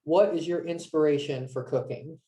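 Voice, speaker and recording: Brief: male moderator, warm dark tone, relaxed, reads viewer questions. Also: on the high side, moderate pace. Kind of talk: reading audience questions.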